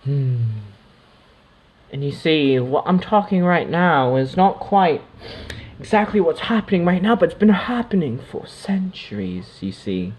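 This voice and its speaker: A man giving a channeled spiritual monologue, speaking as otherworldly beings in a drifting, mystical way, with pauses and soft trailing asides. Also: fairly high pitch, sing-song, breathy edge, muffled recording.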